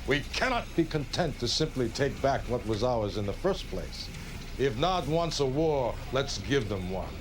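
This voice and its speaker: An actor playing a polished political director, speaking emphatically and like an orator in a war game cutscene. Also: male voice, mid-range voice, big pitch swings, a little slow, background noise. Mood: resolute, rallying. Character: smooth-talking male political director rallying for war. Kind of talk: rallying address in a video game cutscene.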